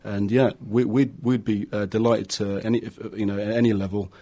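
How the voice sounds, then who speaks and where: A man's voice: on the low side, fairly even intonation, a little fast, warm tone. A man promoting a football charity project as a radio guest, talking fluently with many fillers. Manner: fluent, full of fillers like "uh" and "you know". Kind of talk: radio interview.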